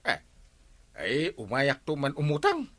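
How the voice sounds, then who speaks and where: Wheedling village captain, ilocano filipino accent, voice jumps up and down, radio comedy. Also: male voice, on the high side, wildly swooping pitch, measured pace, a bit bright, no echo, full character voice. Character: wheedling, flattering, excitable village captain short of money. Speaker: radio drama actor. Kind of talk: broad radio comedy acting.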